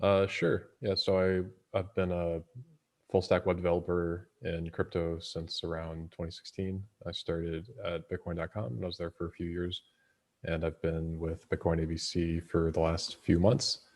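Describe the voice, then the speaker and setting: A man's voice: low-pitched, completely flat delivery, moderate pace, darkish tone. A man giving a brief, matter-of-fact introduction as a web developer on a call. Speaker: web developer, meeting participant.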